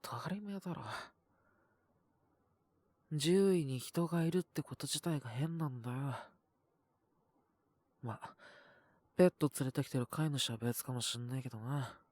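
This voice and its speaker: A man's voice: mid-range voice, normal speed, pauses often, darkish tone, dry recording, clean studio sound, natural voice. A man voicing a sullen, possessive young wolf beastman with a cold, speaking in blunt, curt grumbles in an audio roleplay. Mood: curt, reluctant. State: sick with a cough, acted. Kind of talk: Japanese audio roleplay voice acting.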